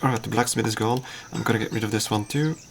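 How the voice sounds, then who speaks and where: A man whose first language is Dutch, casually talking through his game on a livestream in a halting, thinking-aloud way. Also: on the low side, steady tone, vocal fry, slight background noise. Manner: halting, self-deprecating, thinks aloud with fillers. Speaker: streamer.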